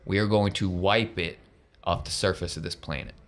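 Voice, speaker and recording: A man voicing a gruff, folksy rebel leader rallying fighters in a tabletop game, with commanding delivery and down-home grammar. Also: low-pitched, fairly even intonation, normal speed, fairly bright tone. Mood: stern, threatening.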